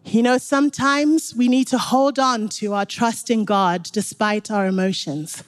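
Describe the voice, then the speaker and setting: A woman's voice: a little high, steady tone, measured pace. A young woman from Zimbabwe giving an earnest, encouraging sermon, speaking directly to the congregation. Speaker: preacher.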